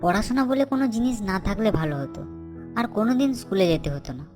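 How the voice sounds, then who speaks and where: A woman's voice: on the high side, expressive, rapid-fire, music in the background, lightly acted. A woman voicing a lazy schoolboy who dodges school, whiny and pleading, in a cartoon. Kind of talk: cartoon voice acting.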